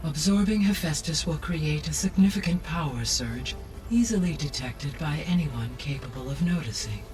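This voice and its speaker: A highly intelligent male AI played by a man speaks with measured, formal precision in a video game cutscene. The voice sits a little high for a man and stays calm and analytical, with clear music playing underneath. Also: far from the mic, natural voice. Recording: music behind the voice.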